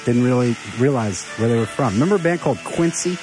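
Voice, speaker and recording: A man's voice: mid-range voice, animated, fast talker. The sidekick in a local rock station studio, a man easily chiming in with questions while music plays behind. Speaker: radio co-host. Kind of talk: casual music chat. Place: radio studio.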